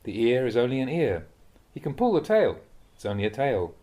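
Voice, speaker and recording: A man reading a translated Buddhist dharma talk aloud like an audiobook, in a measured, expressive way. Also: mid-range voice.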